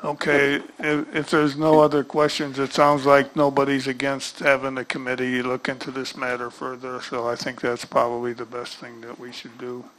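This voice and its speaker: A man's voice: medium pitch, completely flat delivery, normal speed, few pauses, fairly bright tone, rough recording. A man chairing a county board meeting in a procedural, mildly apologetic way, in a large meeting room. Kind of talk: running a public meeting.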